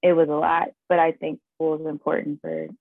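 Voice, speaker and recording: A young woman, a guest actress, chatting in an interview and telling her story in an animated way, often laughing and saying "like". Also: deep voice, fairly quick, vocal fry, no room sound, phone-quality sound. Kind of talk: casual interview chat.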